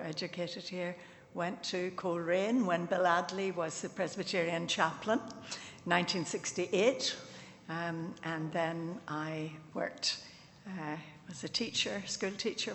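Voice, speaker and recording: A woman's voice: fairly low pitch, fairly even intonation, unhurried, fairly bright tone. Northern Irish woman in her sixties. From Belfast, Northern Ireland. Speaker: retired teacher, missionary. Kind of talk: casual talk at a church service. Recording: church hall reverb.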